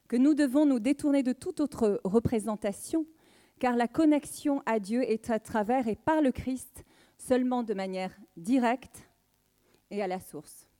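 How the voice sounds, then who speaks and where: Mellow, higher-voiced Frenchwoman giving testimony. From southwest France. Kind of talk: prepared spoken testimony.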